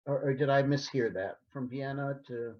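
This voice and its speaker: A man in the audience of a video call, asking a question in a halting, stammering way. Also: medium pitch, muffled recording.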